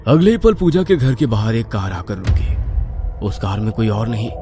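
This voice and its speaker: Dark-toned man narrating horror. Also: fairly low pitch, sing-song, normal speed, soft background music. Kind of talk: scripted horror story narration in Hindi.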